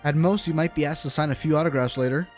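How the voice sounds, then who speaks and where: A man's voice: on the high side, quick pace, darkish tone. A man giving casual, exclamatory live commentary on a game, swearing freely. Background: game music.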